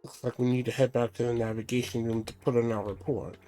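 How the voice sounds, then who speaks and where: A man's voice: medium pitch, flat delivery, fast talker, warm tone, soft background music. A man streaming a game with casual commentary, stuffy from a cold, apologetic and thinking aloud as he plays.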